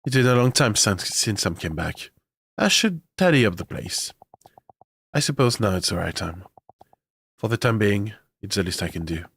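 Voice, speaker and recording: A young man streaming a video game, talking casually with fillers and swearing, his voice rough and congested from a nasty cold. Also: lowish voice, expressive, moderate pace, a bit bright. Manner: chatty, swearing, self-mocking, with filler sounds. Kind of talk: casual live-stream chat.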